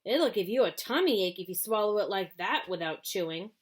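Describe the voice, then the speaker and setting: A woman voicing a fat male shopkeeper in a storybook reading, who goes from pleasant to wildly excited and yelling. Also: medium pitch, brisk, breathy edge. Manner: shrieking, yelling when excited.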